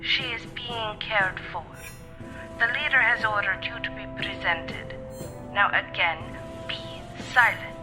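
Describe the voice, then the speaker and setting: A woman's voice: medium pitch, a little slow, music in the background, close to an everyday voice. A woman playing a stern, obedient armored Valkyrie warrior in an audio drama, clipped, formal and commanding.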